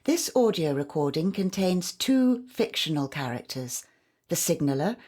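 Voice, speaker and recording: Low-pitched woman reading scripted narration. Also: sing-song, a little slow, a little mellow. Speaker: narrator and announcer. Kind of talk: scripted narration introducing an audio play.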